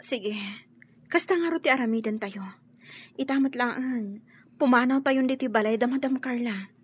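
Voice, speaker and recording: An actress with an Ilocano accent playing a meek but resolute young housemaid in a radio drama, with a very husky, breathy voice. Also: female voice, high-pitched, normal speed. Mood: quiet, resolute. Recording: dull lo-fi radio drama recording.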